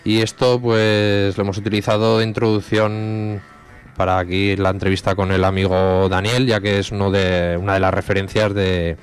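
A man from Spain hosting a local radio show, introducing a song and the guest in a relaxed, rambling way with fillers. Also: on the low side, normal speed, clean tone, faint music behind. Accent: Spanish. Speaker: radio host.

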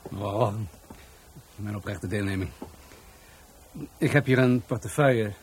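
An actor playing an elderly police commissioner in a radio drama, formal and polite, with small hesitations. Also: male voice, on the low side, a little slow, slight background noise, close to an everyday voice. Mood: courteous.

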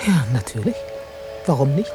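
A woman's voice: very deep voice, big pitch swings, moderate pace, music in the background. An actress playing a teasing, insistently questioning woman in a German radio drama. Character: teasing, probing woman, a biologist and old flame.

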